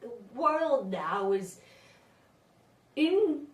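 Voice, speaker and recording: A woman playing a wistful, dreamy villager in a theatrical monologue, halting as she searches for words. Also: high voice, big pitch swings, heavily acted. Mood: insistent, wry. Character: wistful, dreamy female villager longing for brighter days. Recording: echoey room, far from mic.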